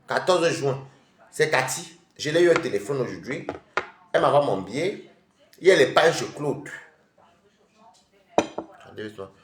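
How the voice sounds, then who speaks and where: A man's voice: mid-range voice, expressive, moderate pace, pauses often, roomy sound, a little hiss or hum. A man with a head cold, chatting casually with viewers on a live stream from home while he eats. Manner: chatty and rambling, addressing viewers directly.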